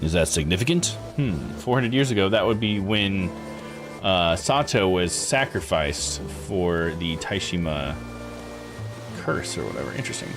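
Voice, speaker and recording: A man's voice: sits low, expressive, measured pace, over music. A man giving casual let's-play commentary on a visual novel, musing aloud to himself.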